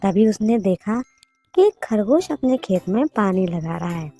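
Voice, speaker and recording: A woman's voice: medium pitch, animated, measured pace, mellow, faint music behind. A woman narrating a children's moral story in a scripted storytelling style.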